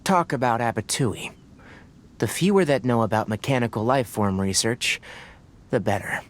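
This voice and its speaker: A man playing a calm, pragmatic young forest ranger and scholar in a video game, speaking in a measured, matter-of-fact, gently firm way. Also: medium pitch, close to an everyday voice. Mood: firm, considerate. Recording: music behind the voice.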